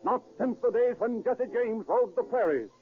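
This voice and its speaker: A man announcing and narrating in formal, emphatic 1930s radio style, with a deliberate scripted delivery. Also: extremely high pitch, soft background music. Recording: tinny, narrow-band 1930s radio recording.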